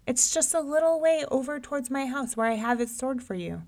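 A woman's voice: high-pitched, expressive, a little fast, darkish tone, pitched above the performer's own voice. A woman voicing a wily male trickster rabbit in a storybook tale, pleading and coaxing. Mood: fearful, meek. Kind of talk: storybook character voice.

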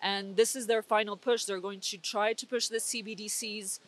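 A woman's voice: mid-range voice, little pitch movement, normal speed. A young woman from Switzerland, speaking as an interview guest with intense, urgent political commentary and frequent restarts. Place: conference venue.

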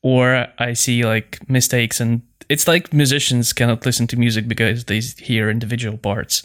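A man with a mid-pitched voice chats casually as a podcast co-host. His talk is halting, and he often repeats words while he finds his way through a sentence. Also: little pitch movement, a bit bright. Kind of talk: casual chat.

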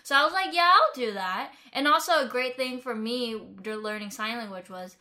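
Young american woman rambling on her vlog, high bright voice, lots of restarts. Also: animated, brisk. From United States. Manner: chatty, rambling, many restarts and laughs. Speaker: host. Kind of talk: casual explainer vlog.

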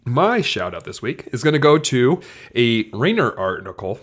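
A man with an average-pitched voice co-hosts a sports podcast. He talks casually and conversationally, offering opinions with a self-deprecating streak. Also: sing-song, normal speed, fairly bright tone, breathy edge. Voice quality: slight trouble saying hard NG sounds.

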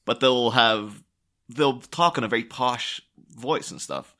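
A man's voice: medium pitch, slow pace, sharp tone. A man with an Irish accent in casual podcast conversation, enunciating carefully as a co-host. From Ireland. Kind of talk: casual chat.

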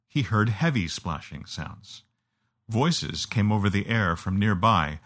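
Low-voiced male audiobook narrator. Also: fairly bright tone. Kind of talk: scripted storytelling narration.

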